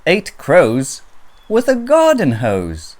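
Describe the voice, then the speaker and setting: A narrator reading a children's counting picture book aloud in a deliberate way, with swooping intonation. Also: medium pitch, wildly swooping pitch, darkish tone.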